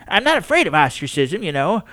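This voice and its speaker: A man's voice: a little high, very animated, a little fast, pushed and bright, no echo, voice pushed up. A man voicing a nervous old male astronomer who stammers and hesitates. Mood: earnest.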